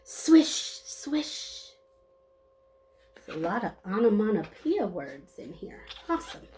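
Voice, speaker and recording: Lady doing a kids book read aloud, super expressive up and down voice, really slow, plays up the sound words. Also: mid-range voice. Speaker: storybook reader. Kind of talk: animated children's read-aloud with short asides to listeners.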